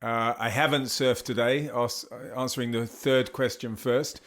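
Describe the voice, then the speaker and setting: A middle-aged man with an English accent, a charity founder chatting as a podcast guest, relaxed and full of surf slang and fillers. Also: mid-range voice, unhurried. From England. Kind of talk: casual interview chat.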